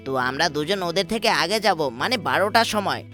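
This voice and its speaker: A man's voice: a little high, expressive, normal speed, sharp tone, soft background music. A man voicing a cocky, greedy young thief in a cartoon, pushy and confident. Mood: scheming. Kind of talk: cartoon voice acting.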